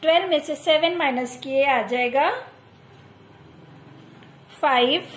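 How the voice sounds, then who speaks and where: A woman's voice: high voice, slow, fairly bright tone. A woman teacher with an Indian accent who explains a maths exercise step by step, mixing Hindi and English. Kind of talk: maths lesson over a workbook.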